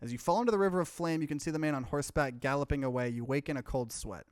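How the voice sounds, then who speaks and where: A man's voice: on the high side, racing, no room sound, studio quality. A man doing a let's play, reading visual novel narration aloud and dropping in casual, offhand commentary. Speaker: streamer, let's player.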